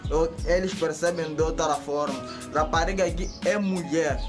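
High-voiced young Mozambican man. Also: normal speed, over music. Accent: Mozambican Portuguese. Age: young adult. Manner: animated, gesturing with both hands. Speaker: YouTuber. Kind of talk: casual talk to camera. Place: indoors by a bare concrete wall.

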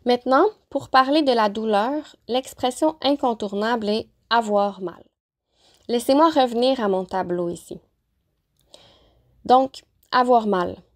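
A woman teacher narrating a lesson, pronouncing example phrases slowly and carefully. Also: fairly high pitch. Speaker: French-as-a-second-language teacher. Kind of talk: clear, deliberate vocabulary lesson narration.

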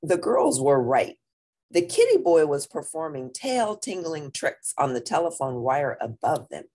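A woman reading a picture book aloud to children with expressive narration. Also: low voice, measured pace. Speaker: storybook reader.

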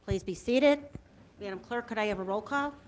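A town supervisor, chairing a council work session in brisk, procedural fashion over a room microphone in council chambers. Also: female voice, medium pitch. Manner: brisk and procedural, short acknowledgments.

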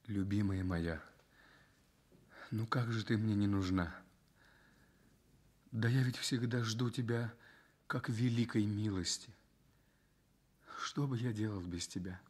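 Low-voiced, ailing young husband. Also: male voice, slow, pauses often, darkish tone. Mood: tender, despondent. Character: gravely ill journalist husband, bitter yet tender. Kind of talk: Soviet radio drama acting.

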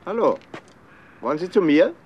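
Lively, probing doctor, noisy recording. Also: male voice, fairly high pitch, moderate pace, dull audio. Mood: guarded. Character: educated male doctor, probing, guarded, slightly sardonic. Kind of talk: German crime radio play acting. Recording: noisy old radio drama recording.

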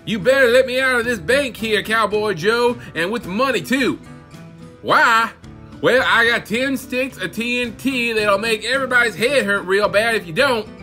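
Old West outlaw, cocky, folksy, high sharp voice, cartoonish western bandit. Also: male voice, moderate pace, faint music behind. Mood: cocky threat. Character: cheeky Old West bank robber.

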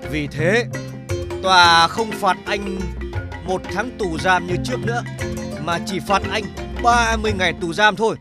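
A man's voice: high voice, a little slow, over loud music. A man voicing a stern, pompous judge in a cartoon courtroom, speaking formally. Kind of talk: cartoon comedy acting.

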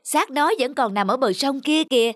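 Casting: sly little cartoon turtle, high voice, coolly teasing. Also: female voice, lively intonation, moderate pace, a bit bright, a little hiss or hum. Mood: persuading. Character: small, cunning, cheeky turtle. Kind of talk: cartoon voice acting.

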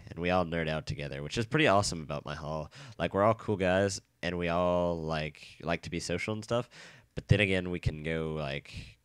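A young man with a bad cold and a nasal, stuffy voice, chatting casually and rambling excitedly while playing a game. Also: low voice, clean tone, dry recording. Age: young adult. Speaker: let's play gamer. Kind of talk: casual gameplay commentary. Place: dorm room.

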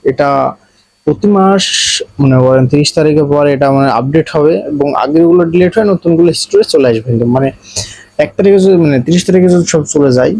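A man's voice: a little high, quick pace, mellow, no room sound, rough recording. A man with a Bangladeshi accent narrating a casual step-by-step phone tutorial, explaining repetitively and addressing viewers directly. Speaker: tech tutorial host.

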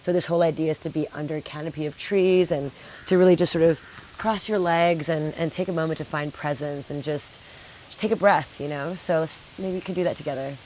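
A woman's voice: low voice, fairly even intonation, brisk, a little mellow, a little hiss or hum. A woman in her thirties showing guests around her home in flowing, enthusiastic casual talk, full of "sort of" and "like". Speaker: homeowner giving a house tour. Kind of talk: casual tour chat. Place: indoor house rooms.

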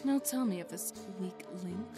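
A woman's voice: medium pitch, moderate pace, close to an everyday voice. A woman voicing an imperious, disdainful robot commander in a comic dub, haughty and commanding. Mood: cold, commanding. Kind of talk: fan comic dub acting. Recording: music behind the voice.

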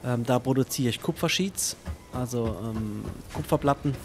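A man's voice: mid-range voice, moderate pace, noisy background. A man giving casual let's play commentary, thinking aloud and chatting to himself and his viewers.

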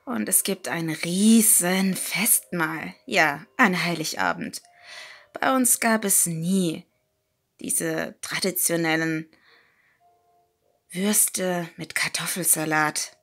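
A woman narrating a first-person scary story in a hushed, unhurried, dramatic manner, with music behind her. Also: on the low side, expressive. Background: background music.

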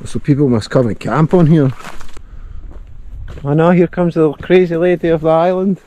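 A man's voice: a little high, normal speed, warm tone, slight background noise. Cheerful Scottish man vlogging outdoors. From Scotland. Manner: chatty, cheerful, laughs often. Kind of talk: casual vlog narration. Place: outdoors in a glen by a river. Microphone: action camera at arm's length.

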